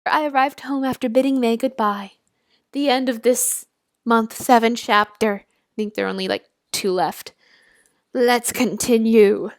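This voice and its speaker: A young woman casually reading a story aloud, with chatty, expressive, giggly asides as she reacts to it. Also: on the high side, measured pace, no room sound, clean studio sound. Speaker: YouTuber reading and reacting to fan fiction.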